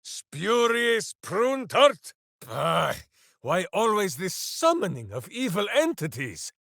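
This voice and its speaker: A man's voice: high voice, sing-song, slow pace, vocal fry, fairly bright tone, dry recording, studio quality, full character voice. A man voicing a big-hearted, simple-minded heroic warrior in a video game, bombastic and earnest, speaking of himself in the third person. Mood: indignant. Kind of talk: video game character voice acting.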